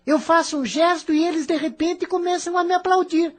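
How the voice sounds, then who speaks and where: A woman's voice: very high voice, fairly quick. A woman playing a rebellious, selfish teenage boy, an irritable dreamer with a curt, complaining way, in an audio drama. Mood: dreamy, explaining.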